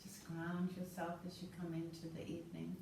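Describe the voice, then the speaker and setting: A woman instructor guiding a yoga class with slow, soothing, calm cues. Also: lowish voice, gravelly. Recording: roomy, distant mic with faint noise.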